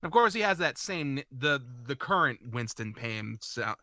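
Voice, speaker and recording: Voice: medium pitch, very animated, unhurried, sharp tone. A person chatting casually and jokily while playing and voicing a video game with a friend. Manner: swears casually, jokey. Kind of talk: casual gaming chat.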